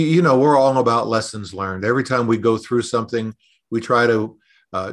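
A middle-aged man talking conversationally in a podcast interview, explaining things thoughtfully and pausing to pick his words. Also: on the low side. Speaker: podcast host and resilience consultant.

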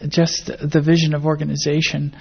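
An older American woman with a husky, creaky voice gives conversational interview answers. The pitch moves little and the phrasing is thoughtful and hesitant. The recording is dull and lo-fi. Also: little pitch movement, normal speed. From grew up in Indonesia and the Philippines, American-born. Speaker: interviewee, academic biologist.

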